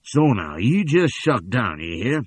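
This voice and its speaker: A man's voice: medium pitch, very animated, unhurried, voice pushed up. Man doing a coarse, bullying captor. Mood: leering. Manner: rough, leering, domineering. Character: coarse, bullying Southern slave catcher man.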